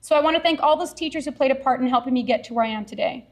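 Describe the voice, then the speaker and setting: Brief: teacher from Texas, woman, high bright-toned voice, sincere prepared speech at a podium. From Edinburg, Texas. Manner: earnest, heartfelt, measured. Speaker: science teacher accepting an award. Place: award ceremony venue.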